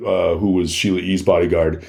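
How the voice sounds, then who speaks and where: A man's voice: low-pitched, level tone, moderate pace, a little mellow. A man speaking as a podcast guest, telling anecdotes casually and acting out short bits of dialogue as he goes.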